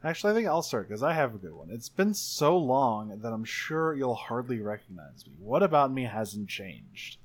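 Man playing a tabletop game, medium pitch. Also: sing-song, moderate pace, hardly any pauses. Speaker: player in an actual play tabletop game. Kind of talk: improvised tabletop roleplay and rules reading.

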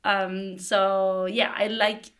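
A woman's voice: medium pitch, flat delivery, extremely slow, a bit bright. A young woman from Argentina, a native Spanish speaker, answering thoughtfully in English while searching for words. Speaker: language assistant, guest.

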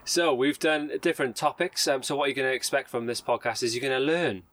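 A man's voice: medium pitch, quick pace, fairly bright tone. A man co-hosting a casual podcast from inside a camper bus, upbeat, teasing and laughing often. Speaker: podcast co-host. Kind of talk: casual banter.

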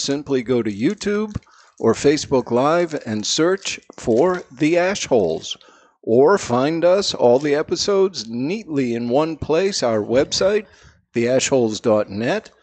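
A man's voice: a little high, darkish tone. A man co-hosting a cigar podcast, reading the show's plugs in an upbeat, announcer-like way. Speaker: podcast host. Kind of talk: casual chat, show promo.

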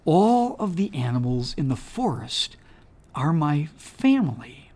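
A woman's voice: mid-range voice, sing-song, a little slow, voice pushed up. A woman voicing a small, sad young male bunny who talks tearfully and pleads. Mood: wondering.

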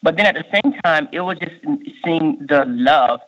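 A man's voice: fairly high pitch, lively intonation, fairly bright tone. Haitian-born man with a Miami accent. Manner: halting, many fillers and restarts. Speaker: state workforce official, guest. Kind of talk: conversational interview answers. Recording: muffled lo-fi recording.

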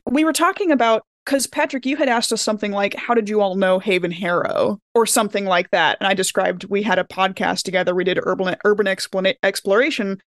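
A woman chatting casually as a podcast panelist, telling stories in an animated way. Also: mid-range voice, quick pace, few pauses, no room sound, clean studio sound. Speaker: podcast panelist and tabletop player. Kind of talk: casual chat.

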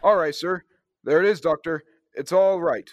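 A man's voice: a little high, normal speed, lightly acted. A man acting an eager, impatient space adventurer in an old-time radio drama. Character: eager, impatient male space adventurer hero, brushing off fuss. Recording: clearly noisy background ambience.

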